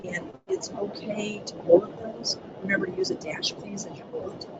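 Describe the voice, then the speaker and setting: A webinar presenter walking listeners through training materials, with a soft voice that does not carry, pausing often with ums. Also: medium pitch, steady tone, lo-fi sound. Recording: loud background noise on a webinar mic.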